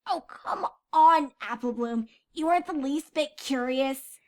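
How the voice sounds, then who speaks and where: A woman's voice: high-pitched, measured pace, pitched above the performer's own voice. A woman voicing a brash, sarcastic, stubborn young pegasus filly in a cartoon-style story reading. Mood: grudging. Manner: sarcastic, challenging. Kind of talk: cartoon-style character reading of fan fiction.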